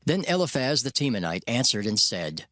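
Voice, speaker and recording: A man's voice: mid-range voice, sing-song, moderate pace, bright, forceful tone, no room sound, clean studio sound. A man narrating scripture in a solemn, dramatized storytelling style. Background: music.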